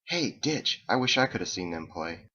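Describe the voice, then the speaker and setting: A man's voice: low-pitched, big pitch swings, moderate pace, sharp tone, husky, muffled recording, exaggerated voice. A man voicing a goofy, eager young male roommate in a novel, with a chatty, slangy manner. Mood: curious.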